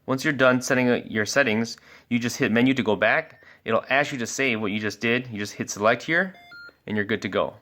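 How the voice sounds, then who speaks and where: Guy doing a casual product demo, average pitch, explains stuff step by step like a helpful sales rep. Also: brisk, bright, forceful tone. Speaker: product demonstrator and scanner sales expert. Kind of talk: casual product review and walkthrough.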